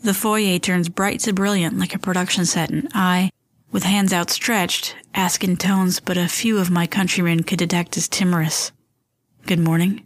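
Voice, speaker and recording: A woman playing a wry, paranoid storyteller in a scripted audio fiction, with deliberate literary phrasing. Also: fairly low pitch, level tone, lightly acted. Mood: brooding, wary. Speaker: storyteller and host of a fiction podcast. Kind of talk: scripted dramatic first-person narration.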